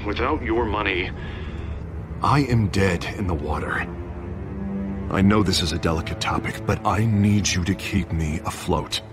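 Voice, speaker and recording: An actor playing a smooth but nervous politician in a video game, hesitant and persuasive. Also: male voice, deep voice, unhurried. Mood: pleading. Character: smooth, nervous male politician running for office. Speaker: election candidate. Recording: music behind the voice.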